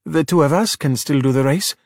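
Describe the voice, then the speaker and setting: A man voicing an anxious, excitable young boy who stammers and babbles in a storybook. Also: fairly high pitch, a little fast, no echo, clean studio sound. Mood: anxious babbling. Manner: nervous, stammering. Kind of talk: children's storybook character voice.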